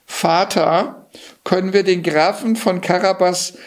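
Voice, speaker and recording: A man voicing a young, smitten princess in a fairy tale, soft-hearted and imploring. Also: high voice, takes it slowly, voice pushed up. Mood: pleading. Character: smitten, pleading young princess, a king's daughter.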